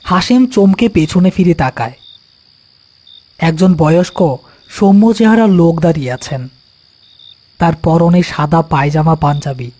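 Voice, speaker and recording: Slow, high-voiced male horror narrator. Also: dark tone. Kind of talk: scripted horror story narration.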